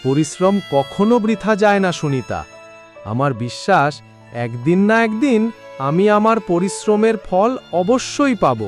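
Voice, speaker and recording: An actor playing a humble, honest, hardworking blacksmith in a cartoon, speaking earnestly and politely. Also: male voice, sits high, expressive, unhurried, faint music behind. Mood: hopeful. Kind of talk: cartoon voice acting.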